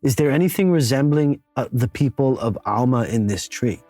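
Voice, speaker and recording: A man with a deep voice playing a tabletop game, casually saying what his character does. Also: animated, moderate pace, mellow, faint music behind. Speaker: player.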